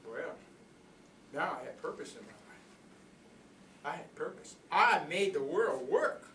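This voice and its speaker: A man's voice: fairly high pitch, very animated, slow, bright, forceful tone, heavily acted. An older man playing a proud, philosophical old rooster in a folksy, rambling comic monologue. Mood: proud, reflective. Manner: folksy, rambling, conversational storytelling with repeated phrases. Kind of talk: comic monologue performed live for an audience. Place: indoor workshop room before a group. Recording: echoey room, distant mic, background noise.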